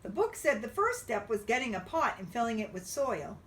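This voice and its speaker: A woman reading a picture book aloud to young children, expressively and with drawn-out emphasis. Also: fairly high pitch, sing-song, fairly bright tone, distant mic. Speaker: children's storybook reader.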